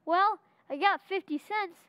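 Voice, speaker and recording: A man's voice: very high voice, a little slow, dry recording, dull audio. A man playing an earnest, plaintive thirteen-year-old son in an old-time radio comedy. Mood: earnest, pleading.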